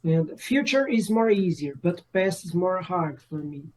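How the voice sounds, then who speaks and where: A woman's voice: lowish voice, lively intonation, unhurried, darkish tone. A woman learning English, speaking hesitantly and repeating phrases.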